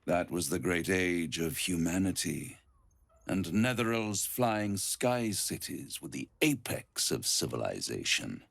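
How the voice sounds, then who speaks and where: A man voicing a suave, ancient, scheming devil in a video game, silky and grandiose, savouring words with dramatic pauses. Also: low voice, big pitch swings, creaky, a bit bright, dry recording, full character voice. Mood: covetous, grandiose. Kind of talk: theatrical video game villain monologue.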